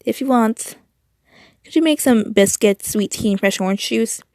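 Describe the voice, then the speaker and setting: A woman voicing a gentle, affectionate fatherly man who asks politely. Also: fairly high pitch, moderate pace, no room sound, studio quality, close to an everyday voice.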